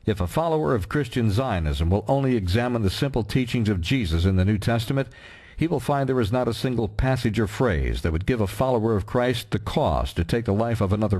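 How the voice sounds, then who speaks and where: A man with a fairly low voice reads a documentary script in a grave, measured way, weighing each phrase. His delivery is steady and emphatic, the sound of polished narration.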